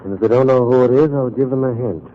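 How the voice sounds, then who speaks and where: An actor playing a coldly menacing, controlled racketeer boss, curt and measured, in a 1940s radio crime drama. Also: male voice, mid-range voice, lightly acted. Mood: imperious. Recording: muffled, faintly noisy 1940s radio recording.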